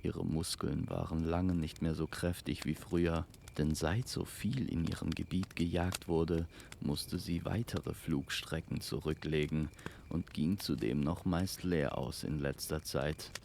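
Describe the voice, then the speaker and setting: A man narrating a scripted fantasy story in a measured, lyrical storytelling manner. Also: rumbling low, steady tone, gravelly, darkish tone, slight background noise.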